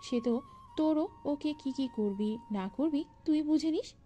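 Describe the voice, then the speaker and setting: A woman's voice: high voice, lively intonation, measured pace, soft background music. A woman playing an affectionate, teasing middle-aged mother in an audio story. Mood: mock scolding, fond. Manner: gently teasing, fond. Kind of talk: Bengali audio story acting.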